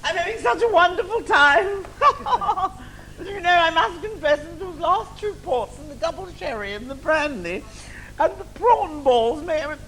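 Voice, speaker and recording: A man playing a bawdy, tipsy older pantomime dame with a saucy, drawn-out theatrical delivery on stage. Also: very high voice, animated, sharp tone, heavily acted, low-quality recording. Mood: tipsy, gushing. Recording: live theatre stage, faint noise.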